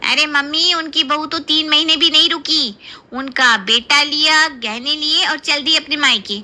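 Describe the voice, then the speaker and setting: A woman's voice: sits high, unhurried, bright, forceful tone, heavily acted. A woman playing a gossipy, mischievous young daughter in a cartoon story, chatty, insistent and needling. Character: gossipy, mischievous young daughter and sister-in-law, blurting everything. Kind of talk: Hindi cartoon story voice acting.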